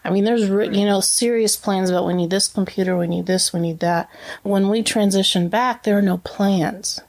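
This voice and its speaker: A woman's voice: mid-range voice, fairly even intonation, normal speed, darkish tone. Chatty woman reflecting in an interview. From Brazil, raised as a missionary kid. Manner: chatty, many fillers and restarts. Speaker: interview guest. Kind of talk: casual reflective conversation.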